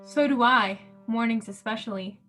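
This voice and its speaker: A woman's voice: on the high side, moderate pace, pushed and bright, soft background music. A young woman playing a playful, devoted wife in a 1940s-style radio drama, teasing and affectionate. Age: young adult.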